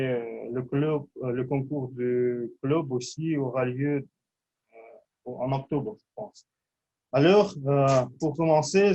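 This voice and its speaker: A man hosting a speaking club meeting, introducing speakers in halting speech full of fillers. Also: mid-range voice, dark tone, highs cut off. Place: meeting in front of an audience.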